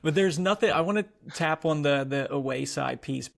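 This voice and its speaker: A man's voice: on the high side, moderate pace, creaky. A man hosting a football podcast, introducing his guest and asking questions in an enthusiastic, playful way. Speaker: podcast host.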